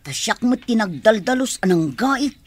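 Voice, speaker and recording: A woman's voice: a little high, sing-song, moderate pace, breathy edge. A woman playing a grumbling, money-minded relative in a radio drama. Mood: complaining.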